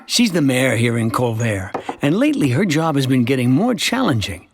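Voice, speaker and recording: A man playing a chatty, wry middle-aged suburban family man who narrates his town's story in an animated short. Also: mid-range voice, normal speed. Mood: storytelling. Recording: music behind the voice. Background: music.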